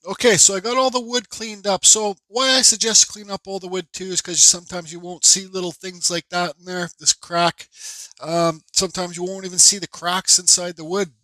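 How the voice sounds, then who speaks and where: Stuffy-nosed guy walking you thru a carving project, kinda high, bright voice, dry workshop sound. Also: flat delivery, moderate pace, dry recording, low-quality recording. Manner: rambling, friendly, with many fillers and restarts. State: stuffed up, congested. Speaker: woodcarving instructor. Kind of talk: casual how-to narration. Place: home workshop bench.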